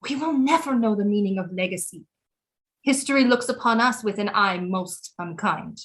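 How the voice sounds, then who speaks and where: A woman's voice: medium pitch, animated, moderate pace, a bit bright, close to an everyday voice. An actress playing a proud, brooding queen mother in an audio play, resentful of her critics, with a regal, formal and dramatic delivery. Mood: indignant.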